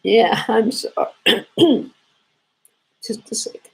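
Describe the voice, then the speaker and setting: A woman with a sore throat and congestion, hosting a casual live knitting lesson and explaining stitches slowly, with frequent restarts. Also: fairly high pitch, animated, a little mellow, rough recording. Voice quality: gravelly, husky. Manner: slow, repetitive explaining with restarts.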